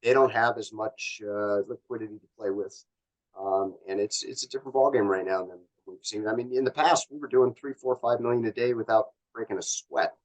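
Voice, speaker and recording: Low-voiced North American man, casually explaining. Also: brisk, poor audio. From born in Canada, grew up in Los Angeles. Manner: rambling, explanatory, colloquial. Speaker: company executive guest. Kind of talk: casual interview chat.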